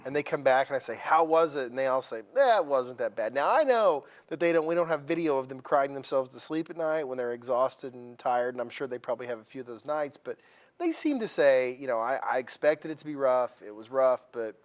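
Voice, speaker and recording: A man in the interviewer's seat with a mid-pitched voice asks his questions in an easy, conversational way. He often drifts into friendly asides. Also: a little fast. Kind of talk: oral history interview.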